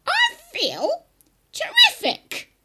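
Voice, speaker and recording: Casting: a scrawny, bossy old granny for a storybook, very high-pitched, shouty and sneering. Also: female voice, wildly swooping pitch, drawn-out words, a little hiss or hum, full character voice. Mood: gloating. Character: scrawny, bossy, scornful old grandma with a wrinkled face. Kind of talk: children's storybook character reading.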